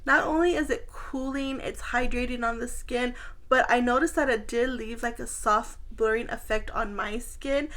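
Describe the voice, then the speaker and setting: High-voiced woman beauty vlogger, chatty. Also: fairly even intonation, normal speed, fairly bright tone. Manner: chatty, informal, addresses viewers directly. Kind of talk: casual chatty product review to camera.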